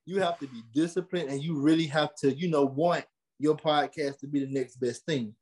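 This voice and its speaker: A young American man giving conversational interview answers, earnest and animated, repeating phrases for emphasis. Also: a little high, normal speed. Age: young adult. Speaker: podcast host and creative being interviewed.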